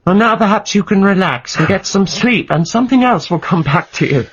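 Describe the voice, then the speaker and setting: An actress playing a shrewd, protective wife in a radio drama, probing and coaxing with quick wit. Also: female voice, medium pitch, expressive, highs cut off, slight background noise, lightly acted. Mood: soothing concern. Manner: probing, soothing, quick-witted.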